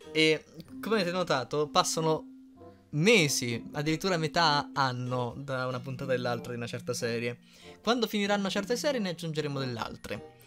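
A man's voice: a little high, animated, moderate pace, a bit bright. A man in his early twenties, chatting casually as he reads and answers questions. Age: early 20s. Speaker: YouTube fandubber answering fan questions. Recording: music playing behind. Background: music.

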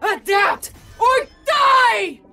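An actress playing a fierce woman fighter shouting battle cries in a video game. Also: very high voice, expressive, extremely slow, exaggerated voice. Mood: fierce, commanding. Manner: forceful, shouted. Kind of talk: video game combat voice lines.

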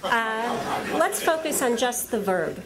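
A woman's voice: on the high side, takes it slowly, a crowd in the background. Woman lecturing in a large hall. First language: Russian. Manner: lively, frequent fillers, asks the audience rhetorical questions. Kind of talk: academic lecture to an audience.

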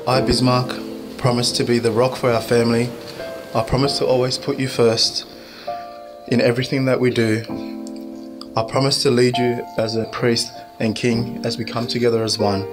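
Guy saying his wedding vows, pretty flat steady tone, loud music all over it. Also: medium pitch, moderate pace. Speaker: groom.

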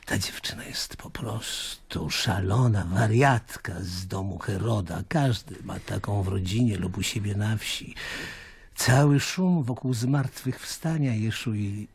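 An actor playing a shrewd, dismissive high priest with a raspy voice in a radio drama. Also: male voice, a little slow, dry recording, heavily acted.

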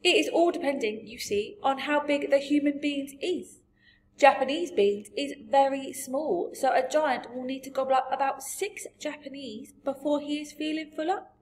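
A woman voicing a big, friendly male giant from a storybook, gentle and boastful, with muddled grammar and emphatic delivery. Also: high voice, normal speed, pitched above the performer's own voice, natural voice. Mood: eager, explaining. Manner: garbled grammar, invented words, emphatic. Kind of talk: storybook character voice.

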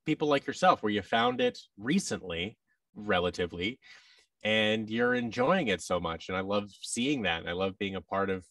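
American guy in his 30s, lowish voice, dry room, slightly far from the mic, chatting on a podcast. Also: a bit bright, dry recording. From United States. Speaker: podcast guest, voice actor. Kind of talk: casual interview chat. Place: large indoor room with tables, on a video call. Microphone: boom-arm microphone with headset.

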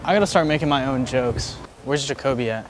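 Deadpan young guy, noisy handheld audio. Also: mid-range voice, fairly quick. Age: 20s. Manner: deadpan, provocative joking. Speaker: prank vlog host. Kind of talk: casual street banter with strangers. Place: city sidewalks and a big store. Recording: noisy handheld camera audio in public places.

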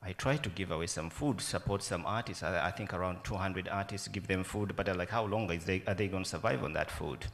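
A man with a Ugandan accent talks into a stand mic at a festival panel. His voice sits low and steady, earnest and reflective. A long hall reverb trails behind every phrase. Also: brisk, hardly any pauses. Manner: earnest, reflective, asks many questions. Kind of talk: panel talk. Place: conference room with a yellow banner.

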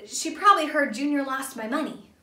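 A woman's voice: high voice, expressive, a little fast, bright, forceful tone, roomy sound, distant mic, pitched above the performer's own voice. A woman voicing an anxious, flustered young male pea from a children's storybook. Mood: nervous, defensive. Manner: breathless, flustered, confessional. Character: Percy, sputtering anxious young male pea, later contrite.

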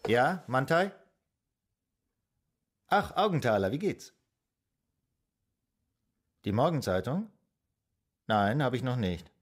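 An actor playing a courteous, troubled psychoanalyst with a formal, measured way of talking in a radio play. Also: male voice, mid-range voice, sing-song. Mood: brisk, surprised. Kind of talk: German radio drama acting.

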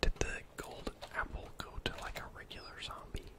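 Young guy whispering asmr game commentary, breathy n chatty, close mic, keyboard clicks. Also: normal speed. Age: young adult. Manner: casual, gently chatty. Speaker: gaming ASMR video host. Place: indoor room at home.

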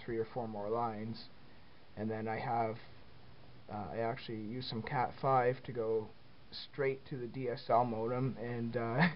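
A man talks slowly and easygoingly while filming in a basement, his voice mid-pitched. The camera mic sounds dull and lo-fi, with a faint hiss behind him. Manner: unhurried, many ums and uhs, plain easygoing talk. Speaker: hobbyist showing home phone wiring. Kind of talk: casual filmed walkthrough. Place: basement, indoors. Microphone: handheld camera mic, speaker off screen behind it.

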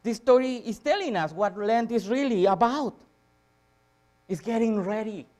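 Guy preaching in church, slightly high voice, repeats stuff for emphasis, goes loud then soft. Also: animated, normal speed. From Chile. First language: Spanish. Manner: emphatic repetition with dramatic rises and drops in volume. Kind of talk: church sermon. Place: church sanctuary, speaking from a lectern on stage.

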